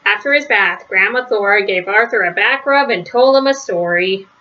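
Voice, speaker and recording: A woman's voice: medium pitch, moderate pace, highs cut off. A woman reading a children's story aloud as narrator. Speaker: storybook reader.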